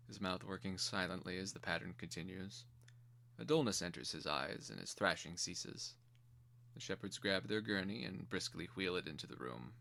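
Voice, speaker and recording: Male narrator, low register, measured and theatrical, reading dark fantasy stage directions. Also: creaky. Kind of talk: dramatic screenplay reading.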